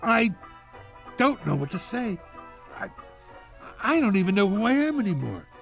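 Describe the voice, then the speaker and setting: An actor playing a pompous, affected man-about-town in a theatrical comic monologue with dramatic pauses. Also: sits high, big pitch swings, vocal fry, a bit bright, faint music behind, full character voice. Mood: affronted, melodramatic.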